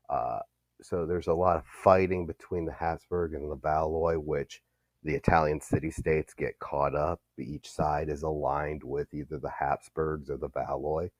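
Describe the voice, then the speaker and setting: A man's voice: extremely low pitch, dry recording. A male history teacher giving a recorded lecture, explaining steadily with some fillers.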